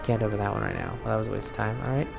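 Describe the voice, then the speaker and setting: A man's voice: on the low side, very fast, creaky. A man giving casual, sarcastic and grumbling commentary as he plays a video game. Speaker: video game let's player. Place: voice-over over gameplay, off camera. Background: game music.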